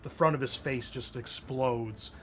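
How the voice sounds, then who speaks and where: A man's voice: mid-range voice, steady tone, unhurried, fairly bright tone, a little hiss or hum. A man running a tabletop game, narrating action dramatically in casual, profanity-laced speech. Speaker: game master.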